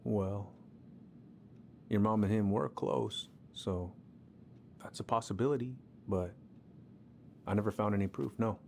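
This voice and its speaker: Gravelly, caring older uncle. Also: male voice, fairly low pitch, measured pace, long pauses, darkish tone, a little hiss or hum. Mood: cautious, concerned. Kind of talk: naturalistic video game acting.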